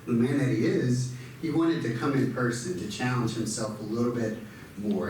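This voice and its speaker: A man's voice: medium pitch, darkish tone, slight background noise. A man working as a speech pathologist, praising a student at an event, who stutters with repeated sounds. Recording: echoey hall, mic far off.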